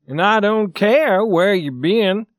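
Man doing a grumpy young guy in a story, high voice, blunt and fed up, dry sound. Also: lively intonation, takes it slowly, no echo. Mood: irritated. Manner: challenging, exasperated. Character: blunt, irritable young son of a hotel owner.

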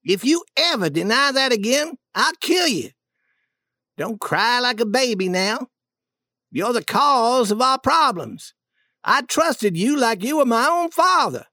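Narrator acting high, scornful, hot-tempered duke. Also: big pitch swings, moderate pace, few pauses, a bit bright, no room sound, heavily acted. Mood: threatening. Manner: scolding, accusing, emphatic. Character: scornful, hot-tempered male con-man posing as duke.

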